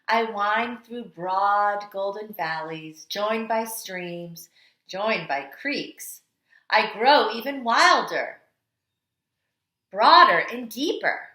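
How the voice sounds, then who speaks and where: A woman kindergarten teacher reading aloud to young children with a dramatic, deliberate, expressive delivery. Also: mid-range voice. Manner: dramatic, deliberate delivery with strong swells and hushed endings. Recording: echoey, roomy sound.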